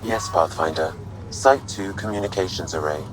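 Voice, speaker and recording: A man playing a calm, precise artificial intelligence in a video game, reporting facts formally. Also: deep voice, normal speed, lightly acted. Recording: noisy game ambience behind voice.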